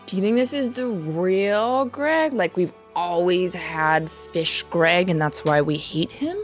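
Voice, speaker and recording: Lowish-voiced woman in casual game chat. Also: takes it slowly. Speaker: tabletop roleplay player. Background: background music.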